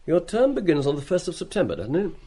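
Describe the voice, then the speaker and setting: An actor in a radio play as a kindly, earnest science master, politely and gently persistent. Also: male voice, on the high side, wildly swooping pitch. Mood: insistent. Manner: warmly insistent, brisk and polite. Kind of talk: radio drama acting.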